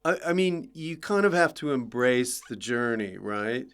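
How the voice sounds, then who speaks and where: A man with a clear, smooth voice at a middling pitch hosts a relaxed interview. He muses out loud in a casual, conversational way, putting questions to a fellow comedian. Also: unhurried. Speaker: podcast host and comedian.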